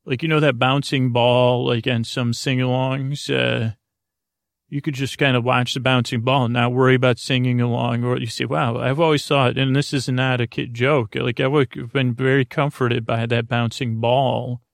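A man hosting a podcast in a rambling bedtime monologue, halting and often interrupting himself as he meanders. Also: mid-range voice, flat delivery, vocal fry, dry recording, clean studio sound. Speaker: podcast host.

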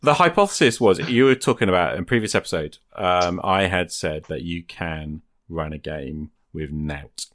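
A man with a low-pitched voice, recorded dry and close, chats in a reflective, informal way. He thinks aloud as he goes, letting his points build in loose conversational phrases. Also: animated, moderate pace, few pauses, fairly bright tone, no echo. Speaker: podcaster and tabletop game master. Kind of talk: casual, reflective chat about tabletop gaming.

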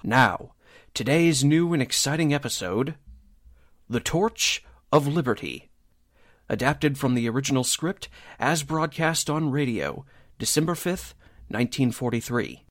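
A man's voice: medium pitch, lively intonation, a little slow. A man reading as an announcer in an emphatic, dramatic 1940s radio style.

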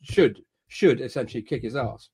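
A man's voice: mid-range voice, moderate pace, crowd noise. A man from Scotland talks loosely with fellow fans over a video call, picked up by a home computer mic. He stops often, repeats words and reaches for fillers as he thinks aloud. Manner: hesitant, many fillers and restarts. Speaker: podcast panelist. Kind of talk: casual fan chat.

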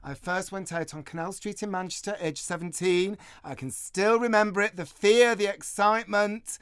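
Male radio host, forties, clear measured presenter, dry studio mic. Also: sits high, fairly bright tone, no room sound. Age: 40s. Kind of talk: scripted radio monologue and interviewing.

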